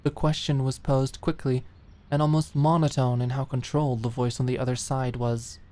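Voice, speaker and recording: A woman's voice: rumbling low, flat delivery, normal speed, mellow. A woman reading scripted, dramatic story narration as an audiobook narrator.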